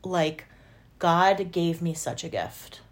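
Female podcast co-host, lower-leaning pitch, playful and chatty, conversational delivery. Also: lively intonation, takes it slowly. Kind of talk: casual chat.

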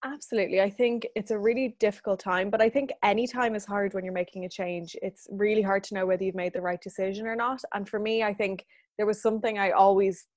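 A woman in her thirties, a business owner being interviewed, talks in a chatty, earnest way and laughs easily. Her voice sits at a middle pitch for a woman. The sound is dry and close. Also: fairly even intonation, quick pace, a little mellow, dry recording. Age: 30s. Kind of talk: interview answers.

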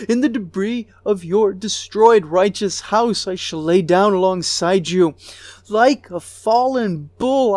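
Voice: mid-range voice, a little mellow, no echo, lightly acted. A reader voicing a grieving goddess queen of a ruined city, lamenting with a voice that breaks into sobs. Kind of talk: dramatic recitation of an ancient lament.

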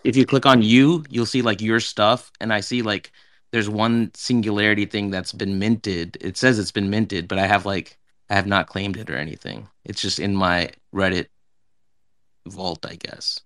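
Low-voiced man chatting casually, dry sound. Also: steady tone, normal speed, a bit bright, no echo. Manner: informal, frequent "like", step-by-step. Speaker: participant in an online audio discussion. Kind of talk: casual explanatory chat.

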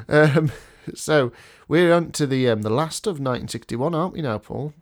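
A man's voice: fairly high pitch, moderate pace. Bunged-up man hosting a podcast. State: blocked nose from a cold. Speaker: podcast host. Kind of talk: casual podcast chat with a scripted intro.

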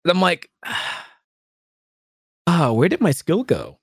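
A streamer in his thirties talks loosely and rambles, laughing easily and making fun of himself. His voice sits a little high for a man. The sound is dry and close. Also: very animated, slow, husky, no echo. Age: 30s. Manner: laughing often, rambling, self-deprecating. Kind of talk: casual chat.

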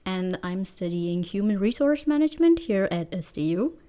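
A woman in her twenties from Denmark, co-hosting a student podcast in casual, low-key chat. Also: expressive, normal speed, mellow. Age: 20s. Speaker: podcast co-host.

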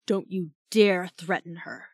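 A woman's voice: fairly low pitch, fairly even intonation, unhurried, darkish tone, no echo, clean studio sound. A woman voicing a protective male swordsman in an audiobook, with a threatening, growling manner. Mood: menacing. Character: gruff, protective male swordsman ready to fight.

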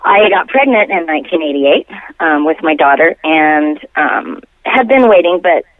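A woman's voice: deep voice, expressive, normal speed, dry recording. A middle-aged woman chatting casually and candidly as an interview guest over the telephone, with good humour. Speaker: interview guest, band singer. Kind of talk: casual chat. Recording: tinny, dry phone line.

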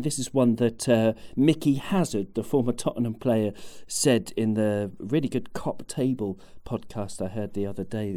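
A man's voice: mid-range voice, dark tone. A man hosting a football podcast, chatty and rambling, with lots of fillers. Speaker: podcast host. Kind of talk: casual football chat.

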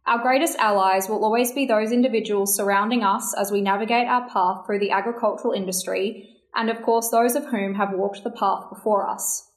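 A woman's voice: mid-range voice, fairly even intonation, a little fast. A woman hosting an interview podcast, speaking in formal, carefully worded phrases as she introduces and questions a guest. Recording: roomy, echoey sound.

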